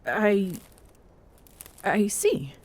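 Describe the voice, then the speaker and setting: A woman playing a tall, proud, smitten Amazonian warrior queen in an intimate ASMR roleplay, speaking haltingly and formally. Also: medium pitch, huge pitch swings, husky, heavily acted. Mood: haughty, puzzled. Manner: halting, slow, formal wording.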